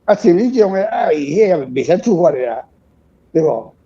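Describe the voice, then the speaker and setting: A man's voice: a little high, big pitch swings, normal speed, warm tone, breathy edge. An older man with a Taiwanese accent, talking casually and reflectively as a guest author, in a rambling, frank, self-deprecating way. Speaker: author, guest on a radio show. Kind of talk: casual reflective book talk. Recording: dull lo-fi recording.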